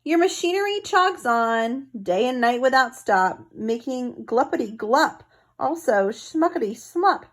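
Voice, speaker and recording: A woman voicing a fussy old male forest creature from a storybook, preachy and snappish, with a croaky edge. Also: high voice, animated, measured pace. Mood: snappish scolding.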